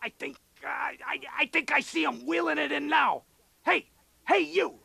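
Very high, gravelly, pushy rhino. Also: male voice, measured pace, pushed and bright, dry recording, heavily acted. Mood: hungry, demanding. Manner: blunt, cocky, slangy street-tough talk. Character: pushy, dim, hungry male rhinoceros turned commodity trader. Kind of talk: 1980s satirical radio comedy acting.

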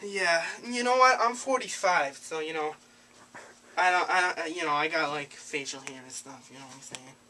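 Young male prank caller: bright, forward voice, rambling and overly familiar, noisy amateur camera sound. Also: on the high side, moderate pace. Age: young adult. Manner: rambling, hesitant, overfamiliar. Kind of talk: prank phone call. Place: small cluttered room indoors. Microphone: handheld camera a few feet away.

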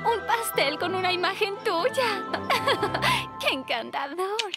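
Very high, perky teenage fairy-tale princess. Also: female voice, very animated, slow, exaggerated voice. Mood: cheerful, gushing. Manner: perky, gushing, dainty. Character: sweet, cheerful teenage fairy-tale princess, eager to help. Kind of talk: animated cartoon dub acting. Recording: music under the voice.

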